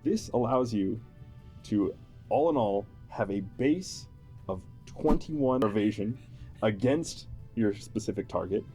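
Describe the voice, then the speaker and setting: Man, low relaxed voice, playful game explainer, faint music behind. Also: slow. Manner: amused, playful, chuckling often. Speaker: tabletop game enthusiast presenting a character build. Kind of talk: explanatory chat with a co-host.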